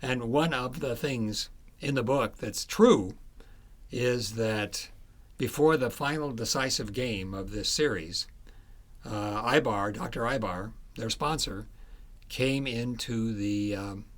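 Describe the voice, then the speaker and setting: A man speaking as an author in a conversational interview about his book, halting as he searches for words. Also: mid-range voice.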